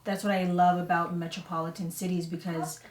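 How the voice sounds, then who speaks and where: A woman's voice: fairly low pitch, flat delivery, a little fast, darkish tone. A young woman co-hosting a family vlog, chatting candidly and laughing easily. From Canada, Toronto. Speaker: vlog co-host, new mother. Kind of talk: casual sit-down chat. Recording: echoey room, mic at a distance.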